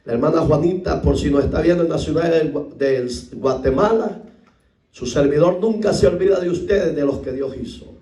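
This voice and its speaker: A middle-aged man from El Salvador with a Salvadoran accent, preaching a sermon as a pastor and acting out the voices in his stories, rising to shouts. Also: fairly high pitch, normal speed, far from the mic. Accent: Salvadoran Spanish. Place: church hall. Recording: echoey room, voice from off the mic.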